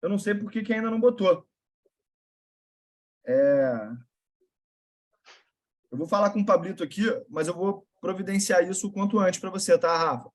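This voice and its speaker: A man's voice: high-pitched, normal speed, pauses often. A man with a Carioca accent hosting a live video call with investment students, talking in a brotherly, slangy, encouraging way. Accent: Carioca Portuguese.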